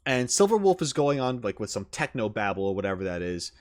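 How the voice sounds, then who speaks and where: A man's voice: on the low side, big pitch swings, fast talker, no room sound, clean studio sound. A man casually reacting to game trailers, rambling with lots of fillers, swearing now and then and getting enthusiastic. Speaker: reaction video creator.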